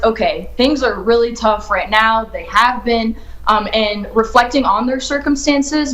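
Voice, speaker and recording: A woman's voice: fairly high pitch, fairly bright tone. A young woman who grew up in Ohio, an author answering interview questions in an earnest, upbeat way, thinking aloud as she goes. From United States, grew up in Ohio. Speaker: children's book author, guest. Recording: echoey room.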